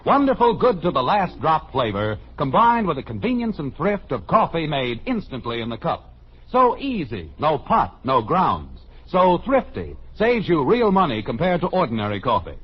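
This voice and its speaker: A man's voice: a little high, husky. An American man announcing from a script in a polished, vintage radio sales style. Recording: muffled old radio broadcast, music behind. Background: music.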